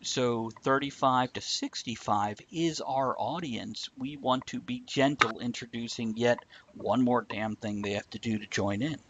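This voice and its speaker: A man's voice: medium pitch, a little slow, few pauses, a bit bright, highs cut off, a little hiss or hum. A man hosting a live stream in casual, rambling chat, blunt and joking with some swearing.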